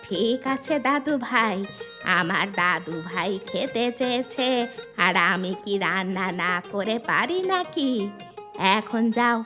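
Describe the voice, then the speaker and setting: A woman playing a gentle, loving old grandmother in a cartoon story, speaking in a drawn-out, soothing way with music behind. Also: mid-range voice, expressive. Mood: affectionate, welcoming. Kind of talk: cartoon fairy-tale story acting.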